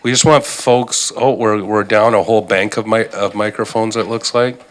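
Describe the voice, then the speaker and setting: A man chairing a regional board meeting, making brisk procedural calls with some light joking, on a desk microphone in a boardroom. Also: on the low side, steady tone. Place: council boardroom.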